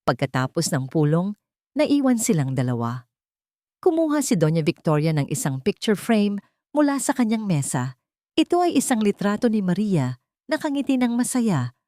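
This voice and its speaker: A woman's voice: medium pitch, very animated, normal speed, mellow, dry recording, studio quality. A woman narrator reading a scripted dramatic love story.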